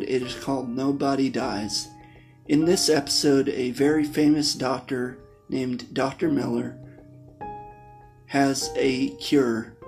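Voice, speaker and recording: A male host reads scripted narration in short, halting phrases. His voice is medium in pitch and very slow. Quiet plucked guitar plays underneath. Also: rough recording. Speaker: show host. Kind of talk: scripted narration introducing old radio plays. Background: plucked guitar music.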